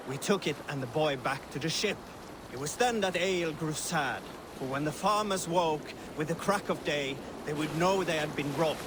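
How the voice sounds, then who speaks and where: An actor playing a boisterous Viking crewman in a video game, telling a raiding tale theatrically over loud water and boat noise. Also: on the high side, close to an everyday voice. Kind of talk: theatrical fireside-style storytelling.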